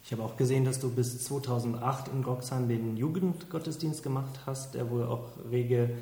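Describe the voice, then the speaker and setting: A man co-hosting a casual podcast, asking questions in a relaxed, joking way and murmuring agreement often. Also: mid-range voice, moderate pace. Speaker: podcast co-host. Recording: echoey room.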